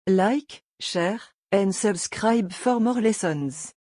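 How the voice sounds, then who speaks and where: Warm, mellow-voiced woman teaching. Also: mid-range voice, expressive, takes it slowly, dry recording, studio quality. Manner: patient, step-by-step explaining. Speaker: language teacher. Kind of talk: scripted beginner lesson.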